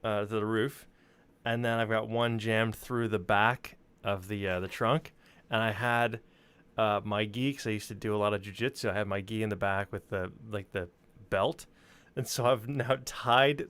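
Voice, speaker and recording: A man's voice: on the low side, steady tone, moderate pace, a bit bright, clean tone. A man telling anecdotes in casual chat, rambling informally and swearing freely. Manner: informal, profane, rambling storyteller.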